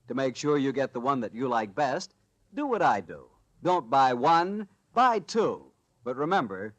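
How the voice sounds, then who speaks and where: A man's voice: medium pitch, dry recording. An American man announcing a 1940s radio commercial in a folksy, emphatic selling style. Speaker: radio announcer and sponsor pitchman.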